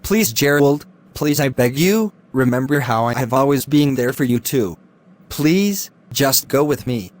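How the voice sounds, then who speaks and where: A man's voice: medium pitch, expressive, measured pace, close to an everyday voice. A young man, acting a desperate student who keeps begging his friend for help. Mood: pleading. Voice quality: begging, insistent pleading. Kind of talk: amateur drama acting.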